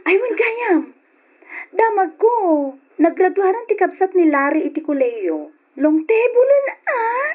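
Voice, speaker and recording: An actress playing an excitable, teasing, nosy woman in an old Ilocano radio drama, exclaiming freely in an Ilocano accent. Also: very high-pitched, takes it slowly, highs cut off, heavily acted. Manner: exclamatory, laughing delivery with big swoops.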